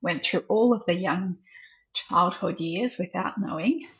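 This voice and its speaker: Husky-voiced woman hosting a webinar. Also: fairly low pitch, a little slow. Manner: warm welcoming introductions, asks reflective questions. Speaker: host and community founder. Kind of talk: hosting an online webinar interview. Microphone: video call. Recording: dull lo-fi video call audio.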